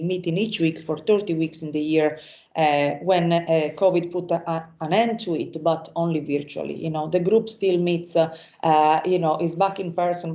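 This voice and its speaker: Woman introducing a speaker, halting. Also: deep voice, warm tone. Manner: halting, many fillers. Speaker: event host. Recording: echoey room, slightly muffled.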